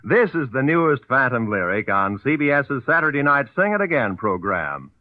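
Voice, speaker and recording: A man's voice: mid-range voice, big pitch swings, moderate pace, no room sound. An American man announcing in a polished, formal, scripted 1940s network radio style. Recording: muffled vintage radio broadcast, dry.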